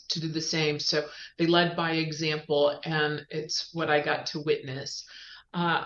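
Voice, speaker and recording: Middle-aged woman in a roomy, dull recording. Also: low-pitched. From Spain, on US military bases. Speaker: guest, economic development executive. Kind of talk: interview answer, career narrative. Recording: roomy, slightly dull sound.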